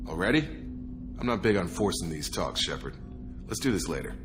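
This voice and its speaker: A deep-voiced soldier from a science fiction game, guarded but principled. He speaks frankly and with measured formality, with music clearly behind him. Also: animated. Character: principled, guarded soldier on a space warship crew. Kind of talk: video game cutscene voice acting.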